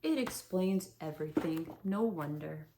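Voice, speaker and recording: A woman voicing a gloomy old gray male donkey who talks slowly and mournfully, in a storybook read-aloud. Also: low-pitched, expressive, background noise, voice pushed down. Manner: slow, sighing, mournful.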